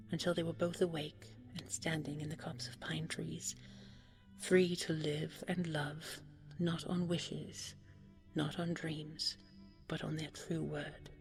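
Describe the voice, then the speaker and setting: A woman narrating a scripted bedtime fairy tale as a sleep aid, in a hushed, breathy, soothing manner. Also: low voice. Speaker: audio roleplay storyteller.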